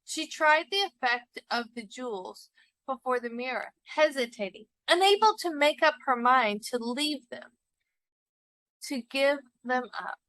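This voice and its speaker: A woman narrating a short story expressively for students, with deliberate, dramatic emphasis. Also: high-pitched, expressive, a bit bright, no echo, rough recording.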